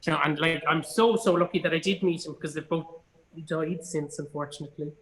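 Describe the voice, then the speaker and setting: A man's voice: on the high side, fairly even intonation, a little fast, fairly bright tone, poor audio. An Irish man in his thirties with a Cork accent talks as an interview guest. He is chatty and informal, leaning on words for emphasis. His storytelling has a self-deprecating streak. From Ireland. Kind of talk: casual interview anecdotes.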